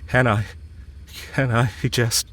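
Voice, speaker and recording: A man playing a lovestruck villain in an intimate audio roleplay, speaking with earnest, halting hesitation and yearning. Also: medium pitch, little pitch movement, fairly bright tone. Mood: desperate, anxious. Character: lovestruck male villain confessing to his enemy, yearning.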